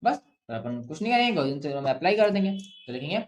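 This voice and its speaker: A man with an Indian accent teaching step by step as a physics teacher, asking and answering his own questions and slowly dictating what to write. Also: on the high side, very animated. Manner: asks and answers own questions, frequent check-ins; slowly dictates written sentences. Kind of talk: step-by-step classroom lesson mixing Hindi and English. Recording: echoey room.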